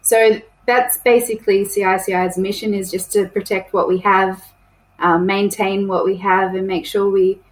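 A woman's voice: mid-range voice, poor audio. A woman, a conservation project coordinator, talking chattily as a podcast guest with frequent ums and restarts. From New South Wales, Australia. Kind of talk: casual podcast interview.

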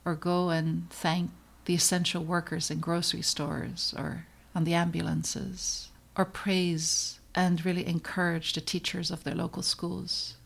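A middle-aged woman from the Netherlands, a pediatrician hosting her own podcast, narrating in an earnest, measured and reflective way with emphatic pauses. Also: fairly low pitch, level tone, creaky, breathy edge. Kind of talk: scripted personal podcast narration.

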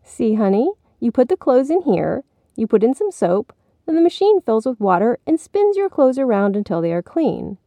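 A woman voicing a gentle, concerned mother in a storybook, reassuring a child in a soothing manner. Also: a little high, very animated, moderate pace, no room sound, voice pushed up.

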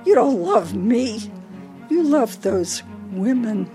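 A confused older wife in a radio drama, with a high, widely swinging voice. She speaks slowly and moves between accusation and childlike politeness. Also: sing-song, dark tone, faint music behind. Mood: angry accusing. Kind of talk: radio play acting.

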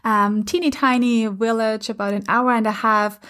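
A woman's voice: fairly high pitch, steady tone, moderate pace, fairly bright tone. A woman in her thirties from Austria, a native German speaker with an Austrian German accent, hosting her own podcast in an earnest, encouraging way with many fillers. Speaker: podcast host and coach. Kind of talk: casual solo podcast talk. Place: home in London.

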